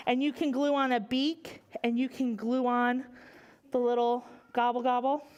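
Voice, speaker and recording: A woman hosting a story time for children at a library, talking in an expressive, exclamatory way straight to her young audience. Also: on the high side. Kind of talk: enthusiastic presentation to children.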